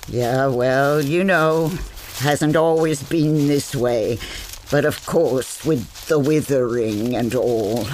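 A bossy, witch-like woman of the forest in a fantasy audio drama. Her voice sits low and she takes her time over words. She is curt, secretive and impatient, giving orders as if patience is short. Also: slow pace, a little mellow, slight background noise, low-quality recording. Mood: wary. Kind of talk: audio fantasy comedy acting.

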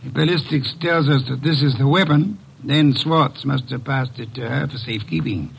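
An actor playing an older, portly, pompous detective genius in old radio drama style, speaking formally and precisely with a gravelly, husky voice. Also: male voice, mid-range voice, moderate pace, poor audio. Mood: stern, accusing.

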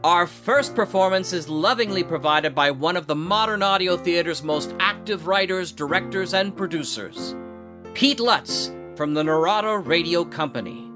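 A man's voice: high-pitched, moderate pace, music in the background. A man hosting a radio theater show, giving a formal, showman-like scripted introduction. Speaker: host.

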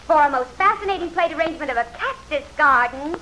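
A woman's voice: high voice, animated, fast talker, pushed and bright. An actress playing a gushing, fluttery society lady making formal announcements in an old-time radio drama. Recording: vintage radio recording with background noise.

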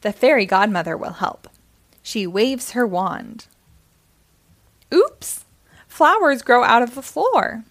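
A woman reading a story aloud to young children in an expressive, deliberate way with simple phrasing. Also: fairly high pitch.

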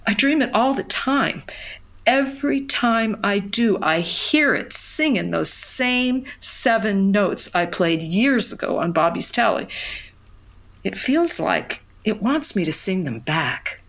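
An older woman playing a weary, guarded mother in a reflective, halting drama monologue, haunted by a memory. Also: mid-range voice, lively intonation, creaky, a bit bright, full character voice. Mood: haunted, wistful. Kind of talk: dramatic fiction podcast monologue.

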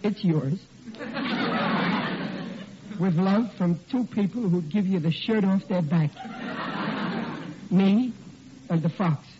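An actor playing a flustered, blustery, stammering working-class husband in a vintage radio sitcom. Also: male voice, sits high, takes it slowly, long pauses, a loud crowd. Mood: sheepish. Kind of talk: 1940s radio sitcom acting. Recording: muffled, noisy old radio recording.

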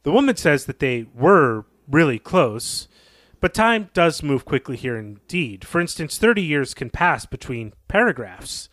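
A man's voice: a little high, big pitch swings, unhurried, fairly bright tone, dry recording. A man co-hosting a podcast, retelling a story and commenting on it as he goes. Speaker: podcast co-host.

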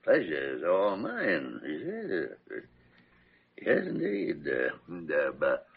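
An actor playing a crusty, blustering older doctor with a raspy voice, muttering and hesitating in old-time radio drama style. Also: male voice, deep voice, huge pitch swings, slow pace, full character voice. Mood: flustered, charmed. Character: crusty, blustering older male doctor, easily flustered by charm. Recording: dull vintage radio recording.

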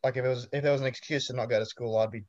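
A man's voice: medium pitch, fairly even intonation, rapid-fire, lo-fi sound, noisy background. A young Australian man in his twenties, chatting casually as an interviewee with a laid-back, storytelling manner and dry humor. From New South Wales, Australia. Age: 20s.

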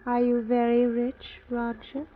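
Woman as a slow-spoken, scheming young temptress. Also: a little high, completely flat delivery, lightly acted. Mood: coolly evasive. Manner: slow, short, insistent phrases. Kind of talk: old-time radio drama acting. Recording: dull, slightly noisy vintage radio.

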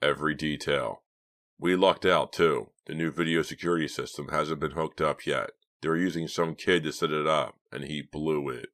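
A man's voice: very deep voice, fairly even intonation, moderate pace, a bit bright, dry recording. A man voicing a tense, impatient young male robbery ringleader in an audiobook story, curt, dry and commanding. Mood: tense, assuring.

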